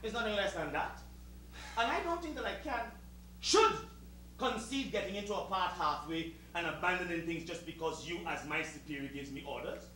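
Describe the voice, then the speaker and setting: An actor playing a proud, meticulous West Indian servant with a Caribbean accent, making formal, emphatic speeches in a theatrical style. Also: male voice, high-pitched, animated, moderate pace, bright, forceful tone. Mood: indignant. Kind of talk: theatrical two-hander stage acting. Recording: roomy distant stage recording with hiss.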